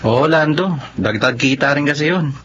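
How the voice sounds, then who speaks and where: An earnest, dutiful young man in a radio love story. His voice sits a little high. The recording is dull and faintly noisy. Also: lively intonation, normal speed, fairly bright tone. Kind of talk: Tagalog radio drama acting. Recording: dull, slightly noisy radio drama audio.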